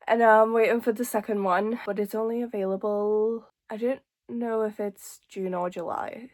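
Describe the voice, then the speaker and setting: A woman's voice: mid-range voice, a little mellow. A woman of twenty-two from Hungary, talking casually to camera as a lifestyle vlogger, informal and chatty, peppering her talk with small filler words.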